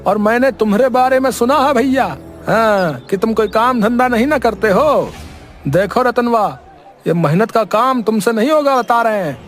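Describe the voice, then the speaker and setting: A man's voice: very high voice, moderate pace, soft background music, voice pushed up. A man voicing a skeptical, blunt village blacksmith with a folksy rural drawl in a cartoon story. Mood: scornful, doubting. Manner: folksy rural drawl with repeated tag words. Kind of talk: cartoon moral story acting.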